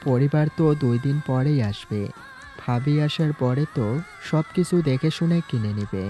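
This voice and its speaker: A man playing a young, coolly ruthless gangster boss in an audio story, speaking calmly and matter-of-factly. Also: mid-range voice, normal speed, lightly acted. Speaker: voice actor in an amateur audio story. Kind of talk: acted audio story dialogue. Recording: background music throughout.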